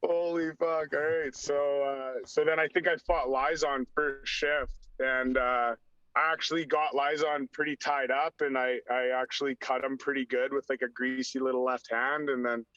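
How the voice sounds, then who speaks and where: Bright, forceful man telling wild stories. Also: mid-range voice, little pitch movement, moderate pace, dry recording. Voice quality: slightly raspy. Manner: acts out dialogue, heavy use of "like". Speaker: podcast guest, former hockey enforcer. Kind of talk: animated storytelling. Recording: dull lo-fi sound.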